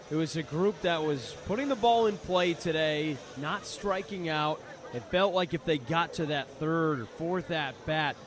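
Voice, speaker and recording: A man working as color commentator on a live baseball radio broadcast, explaining the game in a reflective, conversational way. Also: on the high side. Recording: live ballpark broadcast with clear background noise. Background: outdoor ballpark ambience.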